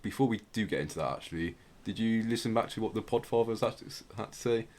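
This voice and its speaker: Low-voiced man chatting on a podcast. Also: quick pace, darkish tone. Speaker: podcast host. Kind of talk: casual explanatory podcast chat.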